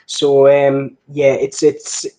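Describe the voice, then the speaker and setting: A man's voice: mid-range voice, completely flat delivery, takes it slowly, mellow. A man from north-east England with a Geordie accent, hosting a casual live stream in a rambling, jokey, self-deprecating way as he chats to viewers. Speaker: host of a watch channel live stream.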